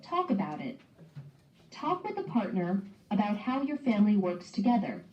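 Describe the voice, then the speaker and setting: A woman's voice: mid-range voice, big pitch swings, normal speed, distant mic. A woman reading scripted educational narration for children, in the manner of a read-aloud lesson. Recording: dull, echoey playback from off mic, faint noise.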